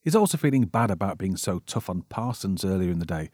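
A man reading a scripted narration that recaps an old mystery film, with a husky voice. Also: sits low, very animated, fairly quick, dark tone, no room sound, clean studio sound. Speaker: narrator presenting a film summary.

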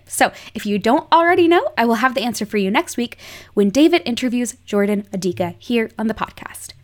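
A woman presenting a scripted podcast segment, playful and chatty, addressing the listener directly. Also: on the high side.

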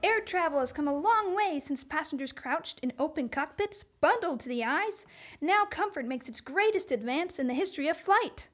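Woman doing old radio ad announcer, super high voice, upbeat and polished. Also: lively intonation, normal speed, a bit bright, faint music behind. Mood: enthusiastic. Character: polished, enthusiastic airline advertising announcer. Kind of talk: vintage radio commercial read.

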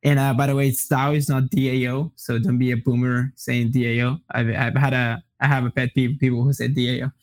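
A young man from Colombia, a native Spanish speaker, answering interview questions in a relaxed way with many fillers and restarts. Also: mid-range voice, brisk, few pauses. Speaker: guest. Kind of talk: casual interview.

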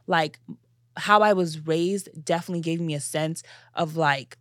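A woman's voice: low-pitched, normal speed, no echo, clean studio sound. A young woman born in Nigeria, hosting a podcast and chatting casually with many fillers, often acting out what others say. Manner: animated, many fillers, quotes herself in voices. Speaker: podcast host. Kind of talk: casual conversation.

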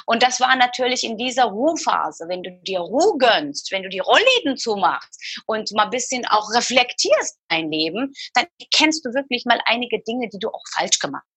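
A woman's voice: fairly high pitch, big pitch swings, normal speed, a bit bright, breathy edge, no echo. A middle-aged woman from Turkey, speaking earnestly and confessionally as an interview guest, often searching for her words. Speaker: guest, former TV presenter. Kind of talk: personal interview.

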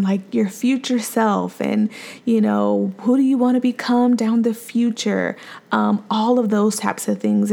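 A woman hosting her own podcast as a mom therapist and coach, talking in a soft, calm, friendly way. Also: on the high side, vocal fry. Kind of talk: solo podcast monologue.

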